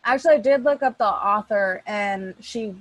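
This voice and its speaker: A woman's voice: medium pitch, moderate pace, no room sound, poor audio. A woman chatting casually as the host organising a group recording from her room at home. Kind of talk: casual chat before a recording. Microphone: small personal microphone on a group call.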